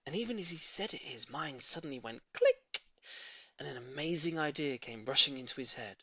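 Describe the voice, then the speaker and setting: A man's voice: on the high side, lively intonation, brisk, bright, forceful tone, breathy edge, no echo. A man narrating a children's story expressively, with dramatic emphasis on key words. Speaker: audiobook reader.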